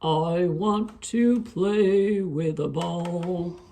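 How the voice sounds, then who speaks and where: A woman's voice: on the low side, extremely slow, dark tone, a little hiss or hum. A woman voicing a playful small dog puppet in a sing-song manner for a children's show. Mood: wishful singing.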